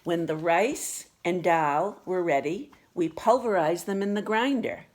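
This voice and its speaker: A woman reading a children's picture book aloud with expressive, deliberate storytelling delivery. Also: lowish voice. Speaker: storybook reader.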